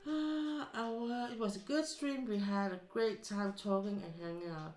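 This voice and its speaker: A woman's voice: mid-range voice, steady tone, a little slow, background noise. Danish woman rambling in an echoey room. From Denmark. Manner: rambling, with many fillers and restarts. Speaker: streamer. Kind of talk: casual chat while crafting.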